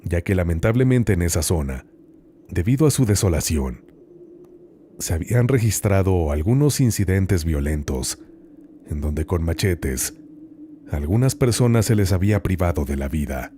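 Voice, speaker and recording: A man narrating horror stories as host, in a slow, hushed, dramatic reading with long pauses. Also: deep voice, big pitch swings, a little hiss or hum.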